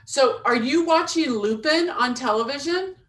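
A woman hosting an interview, lively and self-deprecating, laughing easily between questions. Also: high voice, moderate pace, far from the mic. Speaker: podcast host. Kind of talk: casual interview talk.

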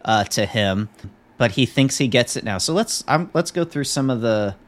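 A man's voice: medium pitch, lively intonation, normal speed. A male podcast host with a mid-range voice, chatting casually. He talks in an easygoing, conversational way, as if to a co-host and regular listeners.

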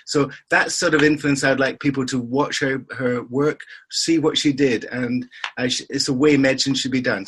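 Husky older man, dry humour. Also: mid-range voice, a bit bright. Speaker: surgeon and podcast guest. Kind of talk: conversational interview.

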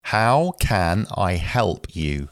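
Slow deep-voiced man reading phrases. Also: no echo, clean studio sound. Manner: slow, careful, even delivery. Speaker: English phrase reader.

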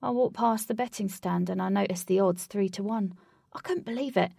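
A woman's voice: medium pitch, a little fast, creaky, mellow, husky, no room sound, clean studio sound. Woman reading a fretful, guilty young man. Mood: distressed. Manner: mumbling, sniffling, pleading. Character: distressed young man, foolish gambler.

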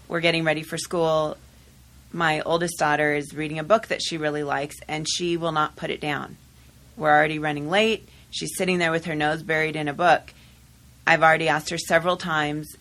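Low-voiced mom calmly telling a story in a studio chat. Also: female voice. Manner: measured, thoughtful storytelling. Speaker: guest. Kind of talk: casual podcast discussion. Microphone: studio microphone.

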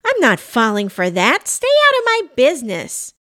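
A woman's voice: sits high, very animated, unhurried, creaky, fairly bright tone, dry recording, studio quality, pitched above the performer's own voice, full character voice. A woman voicing a wary, plain-spoken, folksy small-town woman in a comic storybook tale. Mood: blunt, suspicious. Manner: blunt, folksy, exasperated.

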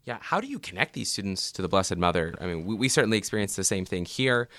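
Quick, bright-voiced male interviewer. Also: sits low, sing-song, no room sound. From American South. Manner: quick, probing questions. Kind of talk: interview questions.

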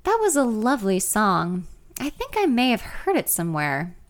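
A woman's voice: mid-range voice, wildly swooping pitch, moderate pace. A woman voicing a smug, condescending male country musician in a story, taunting in manner.

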